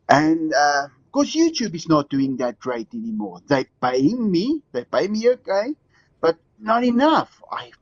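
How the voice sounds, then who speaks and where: A man's voice: a little high, breathy edge, no echo, muffled recording. A man from South Africa with a South African accent, calling into a show and rambling with restarts and laughs. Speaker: caller. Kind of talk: casual call-in chat.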